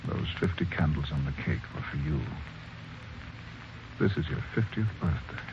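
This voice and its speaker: A man's voice: very deep voice, moderate pace. An actor playing a young man whose father has been murdered, in 1940s radio drama style. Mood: somber. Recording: muffled old radio recording with hiss.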